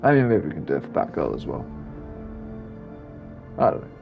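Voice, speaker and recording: A man with a gravelly, husky voice giving casual, rambling commentary as he plays a video game. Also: rumbling low, big pitch swings, a little fast, dull audio, over music. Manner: rambling, offhand. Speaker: gaming video creator.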